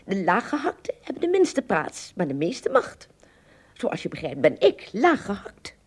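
A woman playing a proud, earnest tiny king of Lilliput in a Dutch radio play, confiding in a husky voice. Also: medium pitch, huge pitch swings, unhurried, exaggerated voice.

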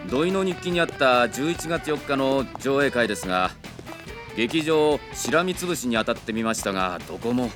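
Man acting a mid-pitched junior investigator, music behind. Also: animated, moderate pace, lightly acted. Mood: puzzled. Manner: polite, matter-of-fact reporting. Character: puzzled, diligent junior male investigator reporting findings. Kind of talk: Japanese radio drama acting.

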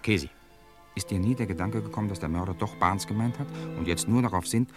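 A man's voice: on the low side, fast talker, lightly acted. An actor playing a tense, cynical man living under a false identity in an old-style crime radio play, speaking with urgency and bitterness. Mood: bitter, agitated. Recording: music behind the voice.

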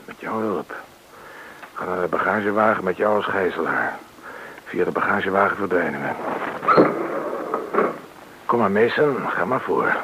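Hostage-taking criminal, understated threat, deep register; vintage radio drama with clear background noise. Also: male voice, expressive, moderate pace, pauses often. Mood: coolly menacing. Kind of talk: old radio play acting. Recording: noisy old radio drama recording.

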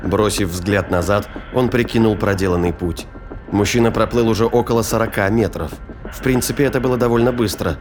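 Low-voiced male audio drama narrator. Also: background noise. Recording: studio reading over prominent music and effects.

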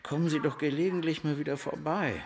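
A man's voice: on the high side, fairly even intonation, fairly quick, creaky. An actor playing a shifty, blustering showbiz man in a German radio crime drama, slangy in his manner. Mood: slangy, ingratiating. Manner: slangy, swinging from indignant to ingratiating. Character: shifty, blustering male TV showbiz gun owner.

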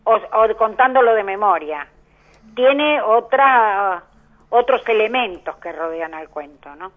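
Over a narrow, muffled phone line, an Argentine woman gives a conversational radio interview as an oral storyteller. Her voice has a medium female pitch. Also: expressive, takes it slowly. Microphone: telephone.

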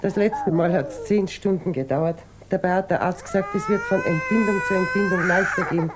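A woman's voice: lowish voice, moderate pace, background noise, close to an everyday voice. An actress playing a worn, prematurely aged mother of three in a radio play, plaintive and familiar, with an Austrian accent. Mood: weary. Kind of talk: German radio play acting.

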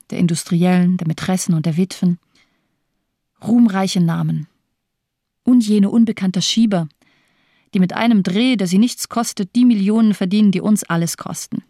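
Radio drama: jaded female physician, sardonic and eloquent, subdued dark timbre, very dry close sound. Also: lowish voice, dry recording. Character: bitter, cynical morphine-addicted female hospital doctor.

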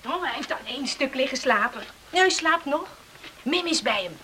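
A woman's voice: sits high, expressive, moderate pace, sharp tone, a little hiss or hum. A woman acting a chatty, cheeky young village girl in a Dutch radio play, with a pert, teasing manner. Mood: cheeky, curious.

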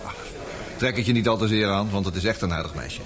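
An affable, informal expedition deputy played by a male actor in a Dutch radio play. He chatters along very quickly in a fairly low voice. A crowd murmurs clearly behind him. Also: natural voice. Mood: baffled, reassuring. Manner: informal, sociable. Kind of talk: Dutch radio drama acting.

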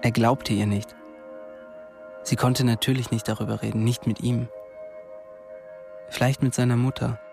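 A man's voice: mid-range voice, level tone, moderate pace, creaky, pauses often, music in the background. A man reading scripted literary narration as the narrator of a radio drama.

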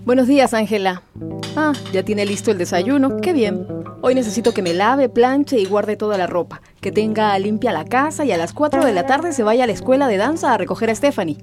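A woman's voice: medium pitch, animated, fairly quick, lightly acted. An actress playing a condescending, bossy lady of the house in a radio drama. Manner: commanding, condescending. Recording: guitar music behind.

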